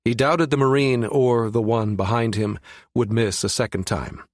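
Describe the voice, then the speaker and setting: A man's voice: mid-range voice, steady tone, moderate pace, no echo, studio quality. A man reading a science fiction audiobook as scripted narration.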